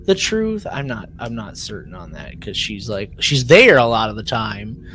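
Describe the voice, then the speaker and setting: A man's voice: lowish voice, big pitch swings, moderate pace, fairly bright tone. Man chatting casually over background noise. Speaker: podcast co-host. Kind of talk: casual discussion recapping a drama episode.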